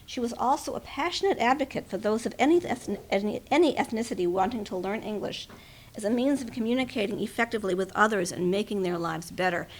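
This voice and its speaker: A woman's voice: medium pitch, poor audio. A woman speaking at a memorial, reading a tribute aloud and adding informal personal asides.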